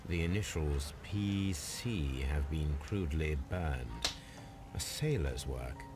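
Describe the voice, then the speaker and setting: Casting: a deep-voiced gentleman detective for a video game, calm, precise, musing, over prominent music. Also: slow pace, heavily acted. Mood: observant. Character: calm, analytical gentleman detective examining a murder scene. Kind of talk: video game character voice acting, deliberate deductive monologue.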